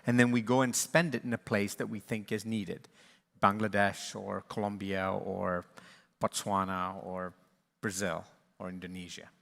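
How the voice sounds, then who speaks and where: Male moderator, earnest and reflective, bright forceful voice with long hall reverb. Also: lowish voice, flat delivery, unhurried, gravelly. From born in Sri Lanka, raised in East and West Africa. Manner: earnest, reflective, with frequent restarts and fillers. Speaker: panel moderator, conservation charity leader. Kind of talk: closing remarks at a livestreamed panel.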